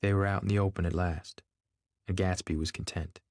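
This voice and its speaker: Male audiobook narrator, fairly low register, literary scripted read, very dry studio sound. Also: steady tone, brisk, a little mellow, dry recording.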